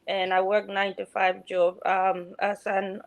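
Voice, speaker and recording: A woman's voice: mid-range voice, completely flat delivery, unhurried, no room sound. A woman from South Sudan introducing herself on a video call, speaking in a reassuring way that circles back and restarts often. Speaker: guest mentor. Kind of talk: motivational self-introduction.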